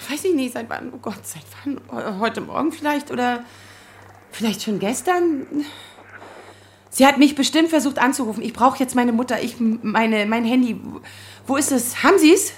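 A woman's voice: on the high side, lightly acted. Actress as a flustered, stammering woman in her thirties. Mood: anxious. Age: 30s. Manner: halting, stammering, nervous laughs, quick to flare up. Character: flustered, agitated 35-year-old woman lawyer, daughter of Iranian exiles. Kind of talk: naturalistic German audio drama acting.

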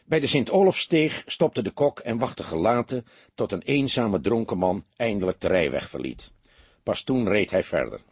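A male audiobook narrator reads a story expressively. His voice is very husky and moves with animated pitch, recorded dry and close. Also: moderate pace, no echo.

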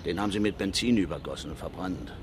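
An actor playing a terse, folksy East German forestry worker, gruff and fond of teasing, in a 1970s radio crime drama. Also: male voice, low voice, fast talker. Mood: laconic. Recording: clearly noisy old radio play recording.

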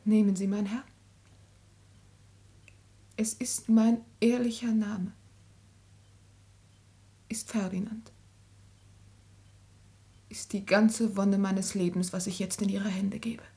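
Desperate, dignified young maiden. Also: female voice, medium pitch, steady tone, takes it slowly, long pauses, mellow. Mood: grieving. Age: young adult. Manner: tragic, solemn, pointed. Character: desperate, honourable young middle-class woman, defiant under coercion. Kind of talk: classical German radio drama acting.

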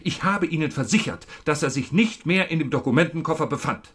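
An actor playing an anxious, proud government minister, speaking formally and emphatically in a German radio drama. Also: male voice, on the high side, animated, a little fast, fairly bright tone, slightly rough. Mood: indignant.

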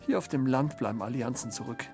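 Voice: medium pitch, fast talker, close to an everyday voice. A performer playing a dry, aloof, ironic commentator on society in a scripted literary radio play. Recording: music behind the voice.

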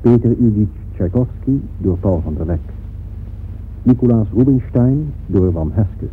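A man's voice: on the low side, animated, measured pace. A man working as a radio announcer, reading scripted credits in a formal style. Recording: muffled old radio recording, faint noise.

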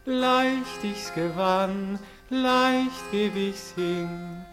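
A woman's voice: medium pitch, very slow, music in the background. A woman playing an eager, lovestruck, melancholy young man in a radio drama, earnest and lyrical. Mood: mournful. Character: eager, lovestruck, melancholy young male poetry-loving singer. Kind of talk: radio drama acting with sung verse.

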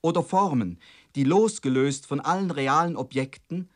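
A man delivering a formal scripted radio lecture in the style of the late 1960s, in a measured, emphatic and rhetorical manner. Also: on the high side. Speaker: head of radio drama department introducing a play.

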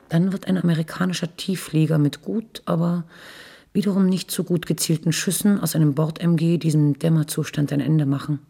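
Gravelly, dark-voiced detached narrator woman. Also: low-pitched, exaggerated voice. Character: detached, wry literary narrator of a girl's wartime youth. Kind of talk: literary narration in a German radio play.

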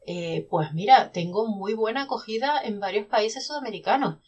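A woman's voice: medium pitch, moderate pace, a little mellow, breathy edge, muffled recording. A woman, a self-published novelist, talking animatedly in a casual podcast conversation with many restarts.

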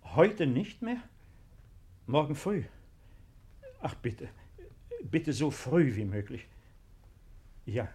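Earnest, husky-voiced baron. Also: male voice, mid-range voice, very animated, dark tone, heavily acted. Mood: anxious, urgent. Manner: polite, measured, earnest. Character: earnest protective baron, courteous, quietly resolute. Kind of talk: 1950s German radio play acting. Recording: 1950s radio play recording.

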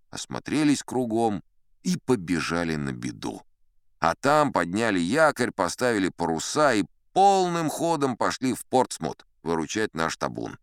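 A man narrating a scripted adventure tale in the first person, with theatrical, varied delivery and dramatic pauses. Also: deep voice, no room sound, clean studio sound. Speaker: audiobook narrator.

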